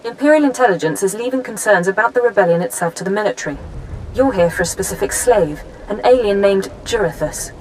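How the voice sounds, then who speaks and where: Game role: woman playing composed genius spy analyst, crisp businesslike briefings, medium pitch, slight background noise. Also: lively intonation, fast, lightly acted, low-quality recording. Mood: matter-of-fact. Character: brisk, composed female Imperial intelligence analyst, genetically engineered genius. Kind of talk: scripted video game dialogue.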